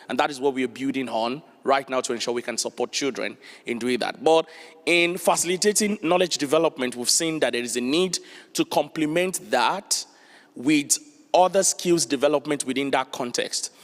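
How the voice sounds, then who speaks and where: A man giving an earnest panel talk, often saying "you know". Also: medium pitch. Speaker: panel speaker from a non-profit. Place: large conference hall. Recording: long hall reverb.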